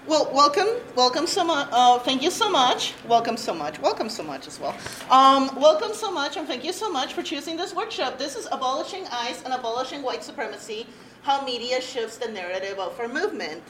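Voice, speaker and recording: Candid Peru-born woman, bright voice. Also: sits high, big pitch swings, a little fast, slight background noise, rough recording. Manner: candid and earnest, with light nervous laughs. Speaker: workshop presenter. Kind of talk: personal testimony at a workshop. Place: workshop room with a live audience. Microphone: no handheld mic, room recorder.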